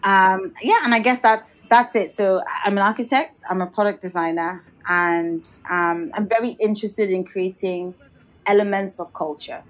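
A woman, her Yoruba, answering interview questions in a reflective way. She speaks at a middling pitch and often circles back with restarts and "you know". The talk sounds measured and considered. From Nigeria. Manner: thoughtful, many restarts and "you know", occasional light laughs. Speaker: guest architect and designer.

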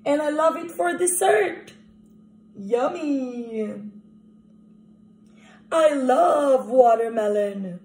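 Woman reading as an excitable high-pitched storybook crocodile. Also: heavily acted. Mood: delighted. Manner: exclamatory, dramatic, drawn-out. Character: excitable, fretful watermelon-loving young male crocodile. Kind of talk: picture-book character reading. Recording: echoey room recording.

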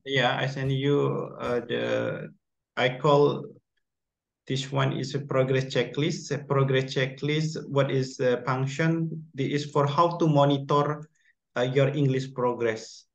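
A man tutoring English on a video call, speaking slowly in simple phrases with small slips. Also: medium pitch, fairly even intonation, vocal fry. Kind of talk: casual online English class. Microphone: laptop mic on video call.

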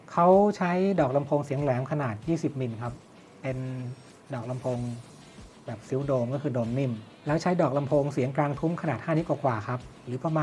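A man's voice: medium pitch, darkish tone. A man reviews and demonstrates a product in a mid-range voice. He explains step by step, often asking a question and then answering it. Music plays behind him throughout. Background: background music.

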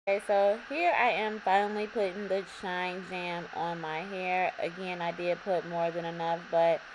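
A young woman with a slightly raspy voice, calmly talking viewers through a hair braiding tutorial in her bedroom. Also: on the low side, normal speed. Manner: relaxed, repetitive instructions addressing viewers. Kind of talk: step-by-step tutorial narration. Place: bedroom, indoors. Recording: audible background noise.

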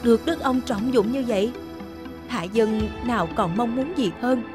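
A woman's voice: fairly high pitch, normal speed, music in the background, natural voice. Woman as a humble, earnest young peasant man. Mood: humble, respectful. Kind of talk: animated historical folktale voicing.

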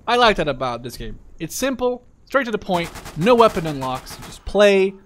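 A man's voice: high voice, big pitch swings, normal speed, a little hiss or hum. A man giving casual, rambling gameplay commentary as a gaming YouTuber, drifting easily off track. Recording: loud gunfire and artillery behind the voice. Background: shooter game gunfire, explosions.

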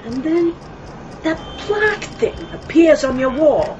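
An actress playing an elderly, chatty mother in a radio drama, rambling and gently scolding as she reminisces. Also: high voice, animated, a little slow. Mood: wistful, teasing. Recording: dull, noisy radio play recording.

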